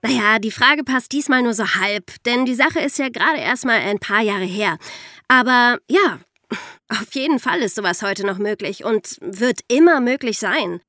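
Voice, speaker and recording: A woman's voice: fairly high pitch, expressive, normal speed, pushed and bright, no room sound, clean studio sound. A woman with a German accent co-hosting a podcast in casual, explanatory chat, with a wry touch. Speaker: podcast host.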